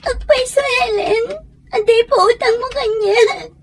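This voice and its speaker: A woman's voice: extremely high pitch, steady tone, unhurried, a crowd in the background. A woman playing a distraught poor young mother in an audio drama, pleading tearfully for her sick child. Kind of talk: Ilocano audio drama acting.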